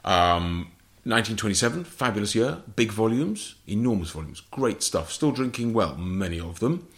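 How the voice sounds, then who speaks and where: A man's voice: on the low side, lively intonation, moderate pace, fairly bright tone. An English man, an author on port wine, answering interview questions in precise, clipped phrases. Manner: precise, explanatory, lists facts in short clipped phrases.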